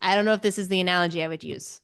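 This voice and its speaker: A woman's voice: fairly low pitch, fairly even intonation, racing, vocal fry, fairly bright tone, smooth. A woman co-hosting a podcast, talking about a book in a casual, tentative and agreeable way. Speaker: book club podcast co-host. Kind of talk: casual book discussion chat.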